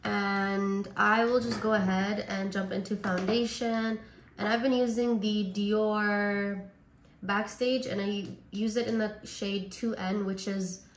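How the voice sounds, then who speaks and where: Woman, raspy, hoarse from a cold, casual makeup chat, echoey room. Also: mid-range voice. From Canada. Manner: chatty, says like and honestly a lot. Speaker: beauty vlogger.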